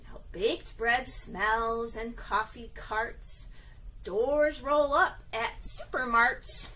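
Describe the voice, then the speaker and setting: A woman's voice: a little high, steady tone, extremely slow, sharp tone, poor audio. A woman reading a picture book aloud at story time for young children, with sing-song, exaggerated expression and frequent little check-in questions.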